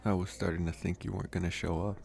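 A man playing a lonely, gentle young college vampire in an intimate ASMR roleplay, speaking softly and haltingly with pauses. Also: deep voice, level tone, creaky. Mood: relaxed, shy. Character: lonely, soft-spoken young male college vampire, politely devoted. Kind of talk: ASMR roleplay, intimate one-sided dialogue.

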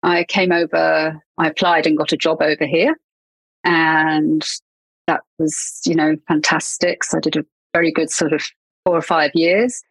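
Husky English woman, chatty guest. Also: deep voice, flat delivery, moderate pace, a bit bright, no room sound, low-quality recording. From England. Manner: chatty, laughs often, trails off with fillers and restarts. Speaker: podcast guest, marketing consultant. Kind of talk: casual interview chat.

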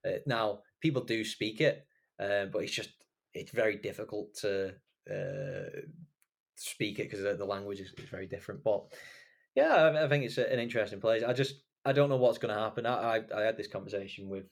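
A young man from England hosts a relaxed podcast conversation, his voice sitting on the low side. He rambles as he talks, filling gaps with ums and likes and restarting his sentences. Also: brisk. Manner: rambling, many fillers and restarts. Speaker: podcast host. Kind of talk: casual chat.

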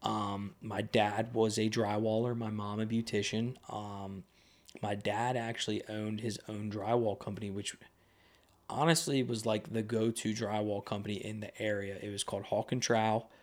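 Young guy on a podcast, kinda low voice, rambly and loose, lots of um and like. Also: little pitch movement, normal speed. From United States. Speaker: podcast guest. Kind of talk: casual conversation. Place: podcast studio.

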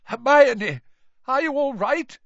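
Anxious wizard father as a male narrator puts him on, unsteady voice, pitched up, fantasy storybook. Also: expressive, slow pace, fairly bright tone, no room sound, lo-fi sound, heavily acted. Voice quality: shaky.

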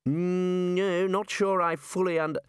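A man voicing a bluff, hearty older male wizard leader, jovial and loud in his manner. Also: sits high, level tone, measured pace, clear, resonant tone, dry recording, clean studio sound, voice pushed up. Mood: puzzled.

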